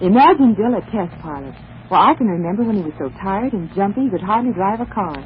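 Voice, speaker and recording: Actress as animated housewife in radio ad. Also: female voice, mid-range voice, fast, lightly acted. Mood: enthusiastic selling. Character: enthusiastic housewife recommending vitamins to a friend. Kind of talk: 1940s radio commercial acting. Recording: tinny, noisy old radio broadcast.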